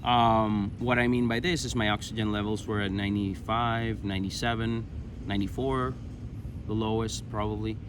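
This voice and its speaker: Venezuelan man over clearly noisy background. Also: lowish voice, steady tone, normal speed. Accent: Venezuelan Spanish. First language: Spanish. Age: 30s. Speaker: interview guest. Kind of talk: personal storytelling in conversation.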